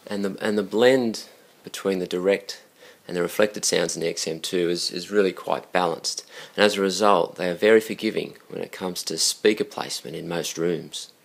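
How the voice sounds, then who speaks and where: A man with a very deep voice and plenty of vocal fry. He explains technical points unscripted, as the expert in a promotional video. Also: moderate pace. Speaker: product expert.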